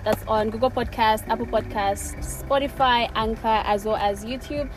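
A woman's voice: on the high side, fairly even intonation, moderate pace, soft background music, low-quality recording. A woman hosting a podcast, talking casually and conversationally as an interviewer. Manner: frequent backchannelling, attentive listening. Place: parked at a mall car park.

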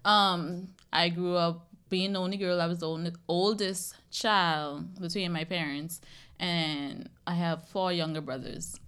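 A woman's voice: on the low side, fairly even intonation, measured pace, fairly bright tone, clean tone. A woman in her twenties from The Bahamas, chatting casually as a podcast guest. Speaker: podcast guest sharing own experience. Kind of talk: casual chat.

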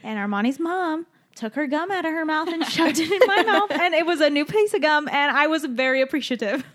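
A woman's voice: high-pitched, lively intonation, a little fast, a bit bright. A young woman co-hosting a podcast, chatting casually and animatedly, laughing often and arguing her points. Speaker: podcast co-host. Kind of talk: casual chat.

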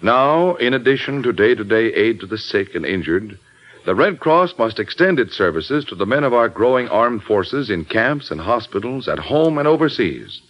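A man's voice: mid-range voice, big pitch swings, normal speed. A male announcer delivering an earnest, formal public appeal. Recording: dull old radio broadcast.

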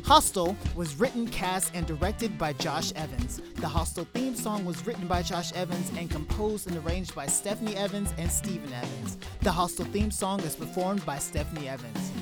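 A man's voice: high-pitched, lively intonation, normal speed, hardly any pauses. A man hosting a show, reading a scripted outro of credits and thanks. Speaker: host and writer reading credits. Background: music.

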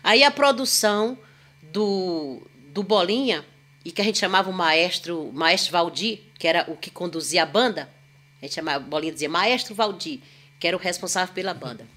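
A woman's voice: fairly low pitch, moderate pace, a bit bright. Chatty woman storyteller. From Northeast Brazil. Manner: chatty, rambling, self-deprecating, exclamatory. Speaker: podcast guest, singer. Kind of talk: casual storytelling chat.

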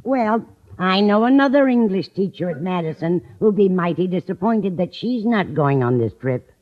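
An actress playing a matter-of-fact mature woman, gently needling her friend in an old radio comedy. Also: fairly low pitch, expressive, normal speed. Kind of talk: 1940s-50s radio comedy acting. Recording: muffled old radio broadcast recording.

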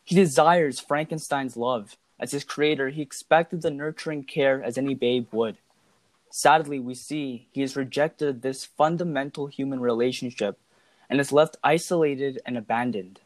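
A man giving scripted analytical commentary in a formal, measured manner. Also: mid-range voice, dry recording.

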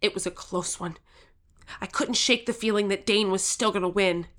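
Woman playing an earnest, bright-voiced young teen. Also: medium pitch, steady tone, moderate pace, slight background noise, close to an everyday voice. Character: earnest, determined 13-year-old game show contestant. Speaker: narrator telling own story. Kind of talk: scripted first-person audio drama narration.

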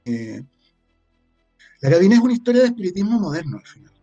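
A man with a Chilean accent answering interview questions as a guest, reflective and halting as he searches for words. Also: high-pitched, sing-song, dull audio, over music. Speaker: guest, audio drama creator.

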